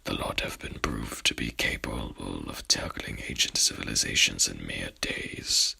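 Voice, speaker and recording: A man playing a courtly, haughty headless horseman in a whispered ASMR roleplay, speaking with pompous, archaic formality in a breathy, gravelly voice. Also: extremely low pitch, moderate pace, bright, forceful tone, exaggerated voice. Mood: rambling, calm.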